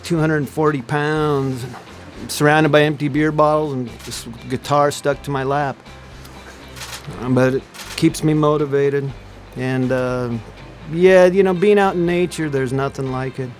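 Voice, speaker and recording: A man's voice: a little high, fairly even intonation, a little slow, soft background music. A man from Oregon, a surfer, speaking casually in a joking, self-deprecating way. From Oregon, USA. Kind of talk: casual interview talk.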